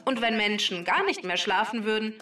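An echoing, slightly distant female database explains things with crisp precision over music. The voice is bright and matter-of-fact, a touch conceited, like scripted children's podcast narration. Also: medium pitch, lively intonation, moderate pace. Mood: factual. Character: talking female database, factual, slightly conceited robot helper. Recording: roomy echo, distant, music underneath.

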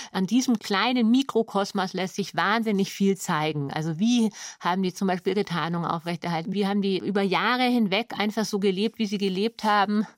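A woman's voice: medium pitch, no echo, studio quality. A woman who makes radio drama, explaining an example from a production in a conversational way. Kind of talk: explanatory making-of statement, conversational.

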